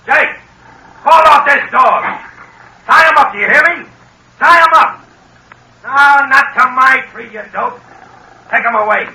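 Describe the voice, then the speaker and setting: High-pitched, hot-tempered gangster boss. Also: male voice, a little slow, gravelly, long pauses, bright, forceful tone, husky, heavily acted. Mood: frantic anger. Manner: curt, sneering, barking orders. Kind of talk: old-time radio acting. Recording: noisy, crackly vintage radio recording.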